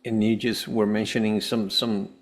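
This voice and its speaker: A man from Venezuela, a podcast guest, telling a personal story in a halting way, often repeating words. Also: fairly low pitch, flat delivery. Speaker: podcast guest, holistic health coach.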